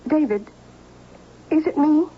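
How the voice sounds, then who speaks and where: A woman's voice: sits high, slow pace. An actress playing an insecure, clinging girlfriend in a 1970s radio drama, anxious and questioning in manner. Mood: hurt, questioning. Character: insecure, clinging girlfriend, fond of fine dinners. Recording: noisy, muffled 1970s radio recording.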